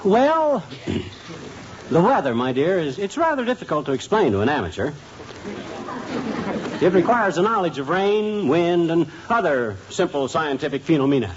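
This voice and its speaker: A blustering tall-tale husband. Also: male voice, on the high side, sing-song, normal speed, pauses often. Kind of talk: 1950s radio comedy acting. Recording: dull old radio transcription with hiss.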